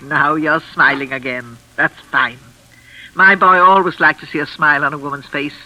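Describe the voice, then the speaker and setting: An actress playing an older widow in a vintage radio thriller, genteel and coaxing on the surface, controlling and unhinged underneath. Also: female voice, sits low, steady tone, normal speed, sharp tone, exaggerated voice. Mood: eerily pleased. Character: sinister, falsely kind older widow, possessive and unhinged. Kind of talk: old-time radio thriller acting. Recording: noisy vintage radio recording.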